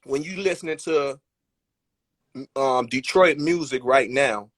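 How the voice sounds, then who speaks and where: A man hosting a casual live interview, loose and halting, with frequent fillers and restarts. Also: mid-range voice, no room sound, clean studio sound. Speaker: live-stream host. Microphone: phone on a live video call.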